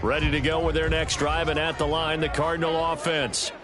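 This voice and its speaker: A man acting a brisk, excitable American football play-by-play announcer for a sports video game. His voice sits a little high for a man and carries each play in crisp broadcast phrases. Loud music plays right behind him. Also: lightly acted. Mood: analytical. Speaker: play-by-play commentator. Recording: loud music and ball-bounce sounds behind.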